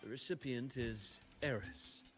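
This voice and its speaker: An actor playing a composed, bitter man who survived research, speaking in measured, understated video game dialogue. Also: medium pitch, a bit bright, natural voice. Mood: grave, measured. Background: game music.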